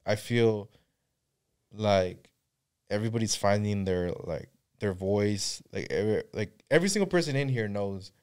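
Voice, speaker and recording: A man's voice: on the low side, normal speed, darkish tone, smooth. A man co-hosting a podcast in a small studio, talking in a laid-back, rambling way and swearing freely into a studio mic. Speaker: podcast co-host. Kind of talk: casual chat. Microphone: headphones and studio mic.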